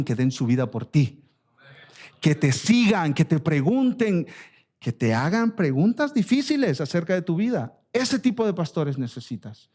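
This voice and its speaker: A man's voice: mid-range voice, mellow. A man with a Latin American Spanish accent preaching an expository sermon as a pastor, emphatic and exhorting, repeating phrases and asking rhetorical questions. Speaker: pastor preaching to his church.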